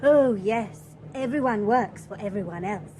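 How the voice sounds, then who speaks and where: A woman's voice: medium pitch, expressive, unhurried, warm tone, soft background music, lightly acted. Actress playing a chatty, shallow young woman. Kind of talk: BBC radio drama acting.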